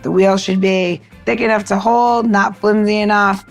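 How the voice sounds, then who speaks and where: A woman's voice: mid-range voice, steady tone, moderate pace, faint music behind. A woman podcast co-host chatting casually and explaining things conversationally, often checking in with the listener. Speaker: podcast co-host giving a cocktail tip. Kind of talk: casual chat and demonstration.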